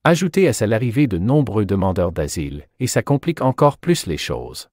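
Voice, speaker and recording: A man playing a measured expert journalist who gives articulate, explanatory answers in a scripted interview. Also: on the low side, big pitch swings, darkish tone, dry recording, studio quality.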